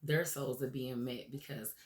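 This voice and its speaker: A woman hosting a motivational radio show, her voice raspy from recent laryngitis, talking earnestly and encouragingly with many "um"s and "okay?"s. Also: very deep voice, steady tone, a little slow, reverberant. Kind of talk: motivational talk show monologue.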